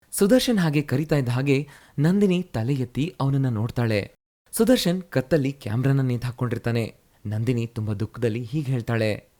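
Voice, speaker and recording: A man narrating a moral fairy tale in a brisk, plain storytelling manner. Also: mid-range voice, dark tone.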